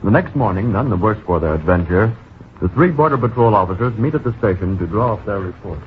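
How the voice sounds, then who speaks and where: An actor as the measured narrator of an old-time radio drama serial. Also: male voice, fairly low pitch, animated, natural voice. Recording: tinny, hissy old radio recording.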